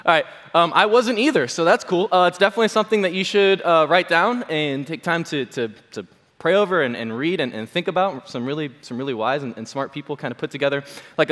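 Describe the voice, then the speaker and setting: A male pastor with a hoarse voice delivers a casual, rambling sermon, dotted with "um" and "like". His voice sits a bit high for a man. Long reverb from the church hall trails behind every line. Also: fairly bright tone. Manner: chatty, self-deprecating, full of fillers.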